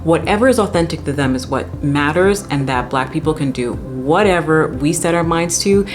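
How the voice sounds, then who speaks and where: A woman in her thirties, an opera singer, talking straight to camera from her living room with lively, expressive delivery. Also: low voice, normal speed, over music. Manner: animated, expressive, talks with her hands. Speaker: opera singer and channel host. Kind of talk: direct-to-camera vlog talk. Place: home living room with bookshelves.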